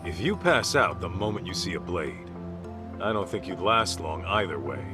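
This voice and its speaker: Gruff, lively low-voiced streetwise man. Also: moderate pace, over music. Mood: wry, concerned. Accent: American. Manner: blunt, sardonic, slangy. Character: gruff streetwise middle-aged man, brash protective mentor. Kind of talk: video game dub acting.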